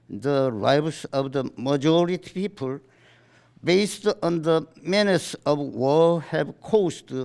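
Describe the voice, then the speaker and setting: A man from South Korea with a Korean accent, a native Korean speaker, formally reading out a statement in halting, deliberate short phrases. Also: fairly high pitch, vocal fry, a little mellow. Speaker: writers' association chairman giving a statement. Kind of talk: formal read-aloud statement. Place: press conference in a book salon.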